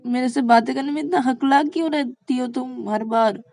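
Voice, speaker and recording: A woman's voice: medium pitch, measured pace, mellow, no room sound, studio quality, close to an everyday voice. A woman voicing an amused, confident young woman in an audio story, teasing and mock-indignant in her questions.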